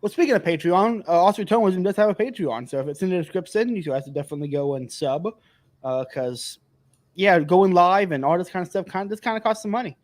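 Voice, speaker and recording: A man's voice: sits high, fast. A man hosting a live podcast, chatty and rambling, laughing often and a bit tipsy. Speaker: podcast host. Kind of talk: casual chat.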